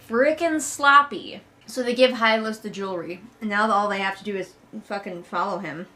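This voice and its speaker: A woman's voice: mid-range voice, fairly bright tone. A woman giving a casual comedic commentary as a read-along host, sarcastic, emphatic and exasperated, with a conversational, sweary way of talking.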